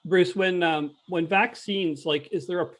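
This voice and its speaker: Guy hosting a church chat, slightly higher voice, halting casual interview style. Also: fairly even intonation, a bit bright. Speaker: church discussion host. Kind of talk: friendly loose interview, halting.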